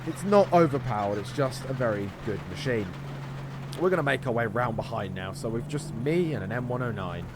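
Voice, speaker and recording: Male gaming host, mid-pitched, running play-by-play in short bursts, clear noise behind the voice. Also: lively intonation, brisk. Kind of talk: gameplay commentary.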